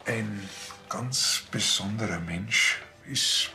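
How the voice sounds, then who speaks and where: Low-voiced naive Bavarian policeman. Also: very slow, creaky, bright, forceful tone, soft background music, heavily acted. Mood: naive, curious. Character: naive, tactless, boyishly curious male Bavarian policeman. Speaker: police officer. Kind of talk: TV crime comedy acting.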